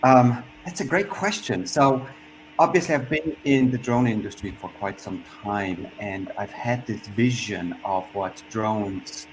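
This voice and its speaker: Low-voiced man from Switzerland on a call. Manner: reflective, with frequent pauses and restarts. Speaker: panelist. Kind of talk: online panel discussion. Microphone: video call. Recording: noisy video-call audio.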